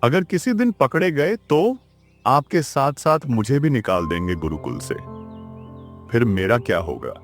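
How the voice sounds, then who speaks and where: A poor young student from a moral tale, acted by a man, timid and eager to please. His medium-pitched voice rises and falls in a very lively way, over soft music. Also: a little slow. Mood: worried. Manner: pleading, deferential. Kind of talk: children's moral story voice acting.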